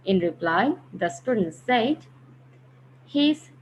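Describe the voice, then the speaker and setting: A woman teaching a grammar lesson, patiently explaining step by step and slowing down to read example sentences. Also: medium pitch, lively intonation, slight background noise, low-quality recording. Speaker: English teacher.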